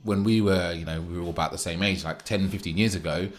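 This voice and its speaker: A man's voice: low-pitched, steady tone, fast talker. A man in a casual podcast chat, talking thoughtfully and hedging his points with "you know".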